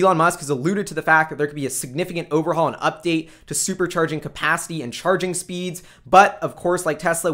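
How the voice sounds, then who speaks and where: Higher-pitched man, casual channel host. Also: a bit bright. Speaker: host of an investing video channel. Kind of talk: casual informal commentary to camera, addressed to followers.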